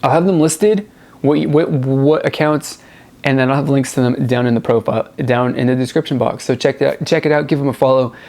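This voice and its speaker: A man's voice: mid-range voice, brisk, darkish tone. Rambling man hosting a music show. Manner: rambling, repeats words for emphasis. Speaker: host of a music review show. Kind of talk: casual unscripted music review to viewers.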